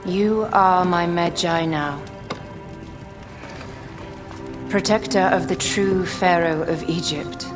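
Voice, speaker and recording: A woman performs a young exiled Egyptian queen for a video game cutscene. She is commanding and formal, with deliberate, imperious phrasing. Music plays clearly behind her. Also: on the low side, natural voice.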